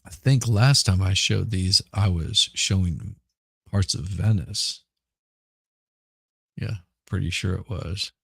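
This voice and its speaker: Man, hoarse, gravelly, husky, deep, slow, rambling casual chat, dry close sound. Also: a bit bright, no room sound. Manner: rambling, repeats words and restarts. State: hoarse from smoke. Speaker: podcast host.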